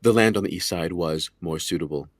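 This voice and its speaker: An actor playing a smooth, condescending estate lawyer in an audio drama, with precise, formal, patronizing speech. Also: male voice, low-pitched, fairly quick, dry recording, clean studio sound. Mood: smug, reassuring. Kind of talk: audio drama acting, period dialogue.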